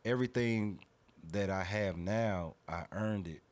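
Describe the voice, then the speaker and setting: A man's voice: fairly low pitch, measured pace. A man in his thirties talking casually and earnestly as a podcast guest, opinionated and slangy. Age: 30s.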